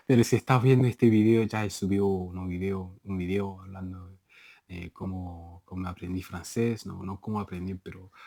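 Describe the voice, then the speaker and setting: A man's voice: sits low, darkish tone, no echo. A young Nigerian man talking casually in a language-learning vlog, restarting and correcting himself and laughing at his own slips. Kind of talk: casual self-recorded vlog.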